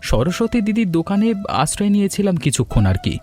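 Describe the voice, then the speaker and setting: A man's voice: sits high, big pitch swings, fast talker, darkish tone, faint music behind. A man voicing an earnest, dutiful young married son in a cartoon story. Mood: earnest, gentle.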